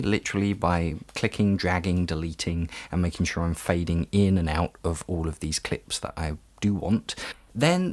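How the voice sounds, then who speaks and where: A man's voice: sits low, dry recording. A man narrating an informal step-by-step tutorial as an audio tutor, in a conversational, explanatory way.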